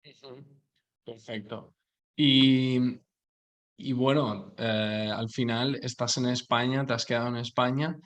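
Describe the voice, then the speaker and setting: A man's voice: medium pitch, flat delivery, slow, fairly bright tone. A man, a language coach, hosting an interview and encouraging his guest with frequent short affirmations.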